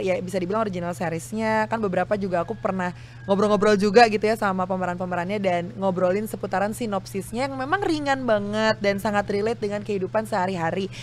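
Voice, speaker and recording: A woman's voice: mid-range voice, slight background noise. A young woman hosting a podcast in a casual, chatty, informal interview style. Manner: informal, chatty, with frequent backchannels. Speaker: podcast host.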